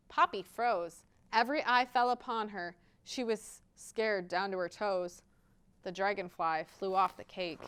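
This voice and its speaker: A woman hosts a storytime for young children. Her voice is smooth and clear, sitting at a medium pitch. She reads expressively and talks straight to her little listeners. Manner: expressive, addresses children directly. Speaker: library storytime host and children's reader. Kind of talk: picture-book read-aloud with interactive rhymes for young children.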